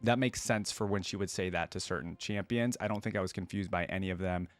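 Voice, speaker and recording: A man's voice: sits low, fast, no room sound, soft background music. A man giving casual, musing commentary as a reaction video host. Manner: informal, musing, conversational.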